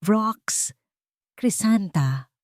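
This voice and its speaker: A woman's voice: medium pitch, expressive, extremely slow, dry recording, clean studio sound, voice pushed up. A woman voicing a wronged, steely male tycoon in an audio drama, curt and commanding. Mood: vengeful.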